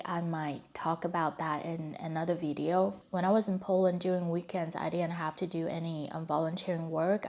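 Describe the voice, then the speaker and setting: A young adult woman from China, a native Mandarin speaker with a Chinese accent, telling her life story in a reflective, candid way. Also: fairly low pitch. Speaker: vlogger. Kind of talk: personal storytelling.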